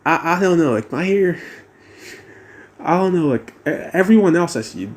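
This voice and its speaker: A man's voice: a little high, expressive, normal speed. A teenage boy with a mixed American and British accent, rambling in a casual monologue, hesitant and musing, with many restarts. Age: teens. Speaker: vlogger.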